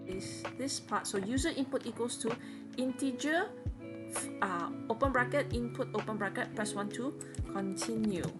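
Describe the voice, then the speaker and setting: A woman's voice: a little high, moderate pace, loud music behind the voice. A woman teacher patiently walking students step by step through programming exercises in a screen-recorded lesson.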